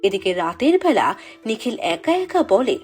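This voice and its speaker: High, lilting woman storyteller. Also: sing-song, normal speed, a little mellow, faint music behind. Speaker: narrator. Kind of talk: scripted storybook narration.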